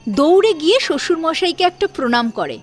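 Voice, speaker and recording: A woman narrating a scripted domestic drama as a storyteller, with an expressive, dramatic delivery. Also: very high voice, brisk. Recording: music behind the voice.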